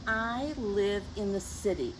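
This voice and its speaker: A woman reading a picture book to young children in a gentle, teacherly way, with chatty asides to her listeners. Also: mid-range voice, animated, slow pace, background noise. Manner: gentle teacherly address, tag questions to the listeners. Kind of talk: read-aloud story time for young children with chatty asides. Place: outdoors.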